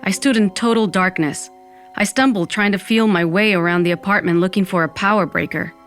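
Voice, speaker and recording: A woman's voice: medium pitch, fairly quick, a bit bright, natural voice. A woman playing a sardonic undead woman, narrating an audio fiction in the first person. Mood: wry, reflective. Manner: wry, dramatic, peppered with Spanish exclamations. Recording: music bed under the voice.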